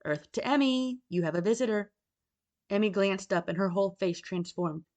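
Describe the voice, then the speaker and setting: A woman's voice: mid-range voice, animated, moderate pace, darkish tone. A woman reading scripted fiction narration, as an audiobook narrator.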